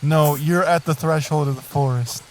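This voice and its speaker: A man's voice: fairly high pitch, steady tone, moderate pace, a little mellow, natural voice. A man playing a patient magical tree that guards a forest, talking casually and hesitantly in improvised audio comedy. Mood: incredulous. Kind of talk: improvised comic audio drama. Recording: background noise with chirping crickets.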